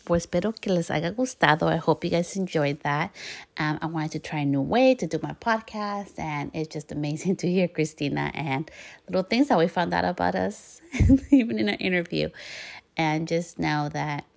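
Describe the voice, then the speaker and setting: Low, gravelly woman signing off. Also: measured pace. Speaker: podcast host. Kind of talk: motivational outro.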